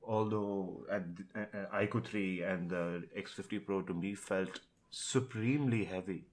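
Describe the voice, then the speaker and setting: A man's voice: sits low, unhurried. A man on a tech podcast panel, giving casual, conversational opinions with personal anecdotes. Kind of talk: casual chat, reviewing gadgets.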